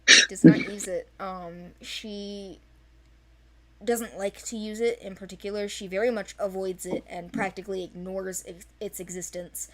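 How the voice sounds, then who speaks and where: A woman's voice: medium pitch, steady tone, unhurried, a bit bright, rough recording. A woman speaking casually and off the cuff, explaining things in an informal, chatty way as a creator presenting a project. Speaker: animated series creator presenting characters for auditions. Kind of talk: casual unscripted project discussion.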